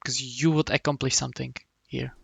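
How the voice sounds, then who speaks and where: Gravelly-voiced man coaching. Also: mid-range voice, level tone, fairly bright tone, no echo. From Czech Republic. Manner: halting, many restarts and hums while explaining. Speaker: game coach. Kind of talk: casual coaching talk.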